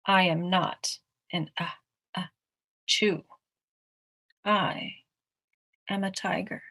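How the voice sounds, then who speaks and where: Woman voicing a boastful little storybook mouse, bright voice. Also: fairly low pitch, fairly even intonation, extremely slow, heavily acted. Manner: defiant, bragging, growls little grrrs. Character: small boastful mouse insisting he is a tiger. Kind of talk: children's picture-book read-aloud.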